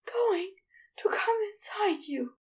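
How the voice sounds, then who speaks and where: High, soft, breathy male lover for audio fiction played by a woman, tender and pleading, unhurried murmurs. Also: animated, full character voice. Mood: pleading, urgent. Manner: murmuring, groaning.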